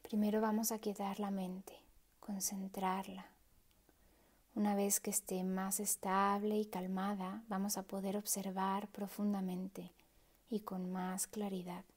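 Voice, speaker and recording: Soft, mellow woman guiding a meditation. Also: medium pitch, little pitch movement, a little slow. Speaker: meditation guide. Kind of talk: guided meditation.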